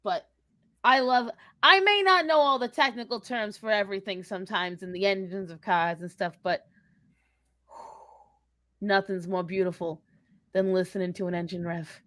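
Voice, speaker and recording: A woman's voice: medium pitch, moderate pace, long pauses, fairly bright tone, no echo, clean studio sound, natural voice. A woman voicing a brash, foul-mouthed, confrontational young woman with bossy sass, bantering in character in a live Q&A. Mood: gushing, competitive. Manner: confrontational, sassy, swearing, slangy.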